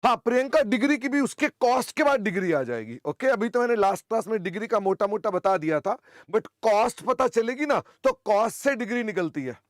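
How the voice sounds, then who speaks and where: An older man teaching accounting in an online class, hoarse, chatty and teasing, often clearing his throat. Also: high voice, sing-song, a little fast, dry recording, studio quality.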